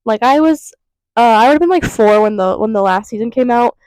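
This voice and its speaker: Congested young woman rambling to chat, slightly high voice, dry close sound. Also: lively intonation, brisk, darkish tone, no echo, rough recording. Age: 20s. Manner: rambling, lots of fillers and tangents. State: congested with a cold. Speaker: vlogger. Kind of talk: casual chat to camera. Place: vape shop counter.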